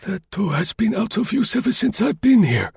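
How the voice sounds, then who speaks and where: A man voicing a very old, frail, meek male concierge with a head cold and a husky, croaking voice. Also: high voice, sing-song, moderate pace, darkish tone, dry recording, clean studio sound, pitched above the performer's own voice, full character voice. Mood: coolly explaining. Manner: meek, pleading.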